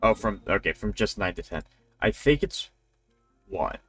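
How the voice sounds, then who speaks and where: A man's voice: lowish voice, expressive, normal speed, breathy edge. A man doing casual live gameplay commentary as a streamer, thinking aloud as he plays. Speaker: game streamer. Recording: game music faintly behind. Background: faint video game music.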